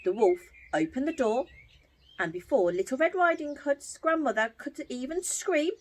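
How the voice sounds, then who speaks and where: A woman telling a story to young children as an entertainer, theatrical and expressive. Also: sits high, moderate pace.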